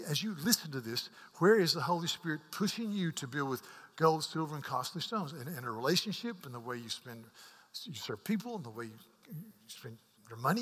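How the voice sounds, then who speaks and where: An earnest male preacher delivering a sermon, often stumbling into repeated words. His voice climbs to forceful emphasis, then falls to near-whispered asides. It rings out with the long reverb of a large sanctuary. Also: fairly high pitch, husky. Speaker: pastor.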